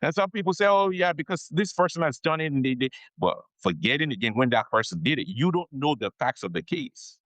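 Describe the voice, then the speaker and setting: A man's voice: on the high side, lively intonation, a little fast, few pauses, fairly bright tone, no echo, rough recording. A man being interviewed on a podcast as an immigration attorney, explaining things patiently, repeating his key advice and often checking in with "okay?".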